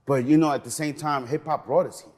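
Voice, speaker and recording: A man's voice: a little high, steady tone, quick pace, darkish tone. A middle-aged man from Peru, raised in New York, speaking as a guest in an interview, earnest and passionate. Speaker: museum cultural program manager, guest.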